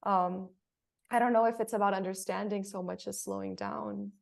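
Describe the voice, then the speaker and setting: A woman's voice: medium pitch, fairly even intonation, normal speed, creaky. A woman speaking conversationally on a panel in a reflective, empathetic manner. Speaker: theater artist on a panel.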